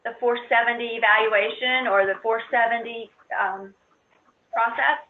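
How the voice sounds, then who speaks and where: A woman presenting on an instructional conference call, explaining things informally and somewhat haltingly. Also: on the high side, fairly even intonation. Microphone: conference call line. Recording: muffled narrow-band conference phone line.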